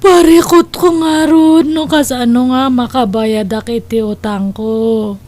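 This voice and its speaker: An actress playing a despairing, weary mother deep in debt, complaining plaintively in a radio-style comedy drama. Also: fairly even intonation, unhurried, darkish tone. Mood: weary, self-pitying.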